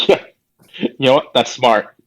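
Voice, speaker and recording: A man's voice: mid-range voice, a little slow, poor audio. A man in a casual gaming podcast chat, opinionated and slangy, repeating himself for emphasis. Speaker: podcast co-host. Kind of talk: casual chat about video games.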